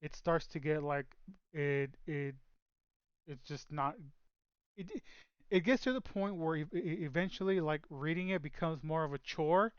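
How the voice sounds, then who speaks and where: A man on the higher side of the male range rambles through a casual chat, leaning on "like" and restarting sentences. His voice comes through smooth and clear, with a dry, slightly muffled mic sound and no room behind it. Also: normal speed, no echo. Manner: rambling, many fillers and restarts. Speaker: podcast co-host.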